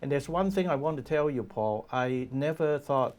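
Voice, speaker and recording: A man around seventy, from Hong Kong, explaining history in a measured way with many fillers in a TV studio interview. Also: medium pitch, lively intonation, a little mellow. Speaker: guest commentator. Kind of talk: casual interview talk.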